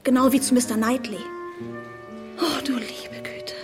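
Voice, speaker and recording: A young woman acting a naive, adoring gentlewoman in a period radio play, with a breathless, gossipy, effusive manner. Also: high voice, fairly even intonation, unhurried, faint music behind. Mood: adoring awe.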